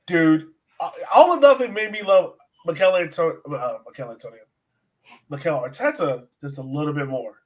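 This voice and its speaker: A man's voice: on the high side, poor audio. A man co-hosting a sports podcast, talking casually about soccer in a slangy, emphatic way and repeating phrases.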